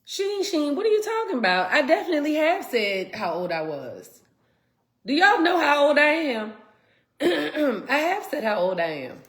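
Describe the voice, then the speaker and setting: A woman's voice: high voice, very animated, moderate pace, roomy sound. A congested woman co-hosting a casual live Q&A, reading viewer comments aloud. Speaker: co-host of a live Q&A stream. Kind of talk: casual live-stream chat.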